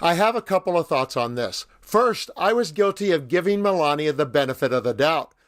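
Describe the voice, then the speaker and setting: A man hosts political commentary in a notably high voice with a slightly rough edge. His delivery is scripted and wry, leaning into sarcasm and mockery. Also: lively intonation, brisk, no room sound, clean studio sound. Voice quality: somewhat rough voice, as the speaker says. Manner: wry, mocking. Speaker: commentary host.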